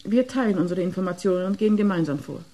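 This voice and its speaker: Nofretete, mellow-voiced cool agent. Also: female voice, fairly low pitch, animated, quick pace. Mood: composed. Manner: composed, businesslike, assertive. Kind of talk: German radio play acting.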